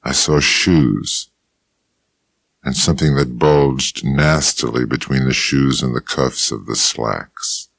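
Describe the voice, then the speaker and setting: A man narrates a first-person audiobook with a very deep, low-pitched voice. He reads in a laconic, dry and unhurried way. The studio sound is close and dead, with no room in it at all. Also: fairly even intonation, creaky, a bit bright, no room sound.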